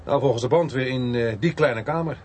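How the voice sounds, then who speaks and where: An actor playing a plain, sceptical police inspector in a radio play, casual and a little hesitant. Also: male voice, mid-range voice, fairly quick, darkish tone, natural voice. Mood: casual, unsure. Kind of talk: Dutch radio play acting. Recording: faintly noisy radio play recording.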